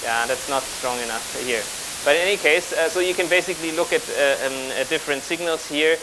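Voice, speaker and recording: A man in his thirties speaking haltingly as he improvises a live technical demonstration as a conference speaker. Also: a little high, background noise. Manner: halting, improvising while working at a laptop. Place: large auditorium on stage with audience.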